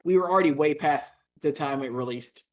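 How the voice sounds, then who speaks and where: A man in his thirties streaming a game and chatting with friends, excitable and quick to swear and laugh. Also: on the high side, a loud crowd. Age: 30s. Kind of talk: casual gaming stream chat. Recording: game noise clearly behind.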